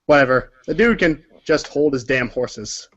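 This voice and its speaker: A man's voice: mid-range voice, animated, a little slow, fairly bright tone, muffled recording. A man narrating a story aloud as if from a storybook, sometimes stumbling over words and correcting himself. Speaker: narrator of a group readers' theater.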